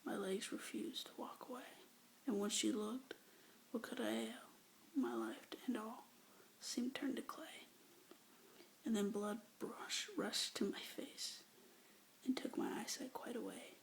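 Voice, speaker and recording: A young woman whispering love poems aloud with hesitant, rambling asides between them, breathy and soft, close to a phone or webcam mic. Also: fairly high pitch, fairly even intonation, a little slow, pauses often, poor audio. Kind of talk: whispered poetry reading, ASMR-like.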